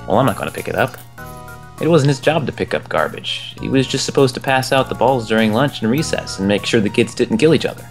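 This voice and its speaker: A young man reading a children's book aloud in a relaxed way, chuckling now and then at the text. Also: mid-range voice, quick pace, few pauses. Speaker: storyteller. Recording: soft game music behind the voice.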